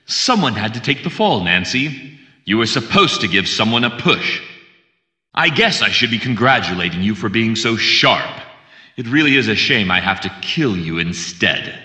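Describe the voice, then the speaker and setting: Game villain: cold aggrieved killer, biting bright tone, echoey room. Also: male voice, fairly low pitch, very animated, moderate pace, exaggerated voice. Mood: cold menace. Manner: menacing, sarcastic, aggrieved. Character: cold, bitter male murderer confronting a young detective. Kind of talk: video game villain acting. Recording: roomy, slightly distant from mic.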